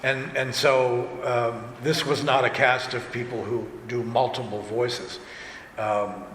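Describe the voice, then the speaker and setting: Man, animated storyteller, casual panel talk, medium pitch, roomy hall sound with background noise. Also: takes it slowly. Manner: animated storyteller, acts out quoted remarks. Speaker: audio drama director and voice actor on a panel. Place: large indoor convention panel room.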